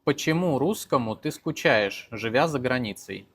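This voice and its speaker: A man's voice: mid-range voice, animated, normal speed, a bit bright, breathy edge. Man plainly reading out questions. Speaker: interviewer asking questions.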